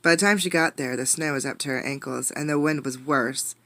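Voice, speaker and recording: A woman reading a storybook aloud as a teacher, expressively, pausing to ask listeners questions. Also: very deep voice, pushed and bright, no echo. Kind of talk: interactive storybook read-aloud.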